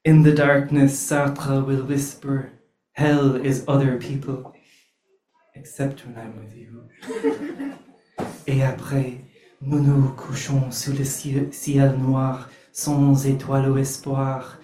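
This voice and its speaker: A man's voice: medium pitch, flat delivery, slow pace, warm tone. A man performing spoken word poetry with a wry, theatrical delivery, then hosting an open mic. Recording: roomy venue sound.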